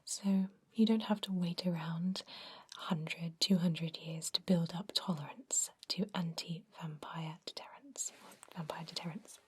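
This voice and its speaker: A woman whispering softly and reassuringly in an ASMR roleplay as a kindly vampire doctor. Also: lowish voice, breathy edge. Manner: soft, reassuring, unhurried whisper. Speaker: ASMR performer playing a doctor.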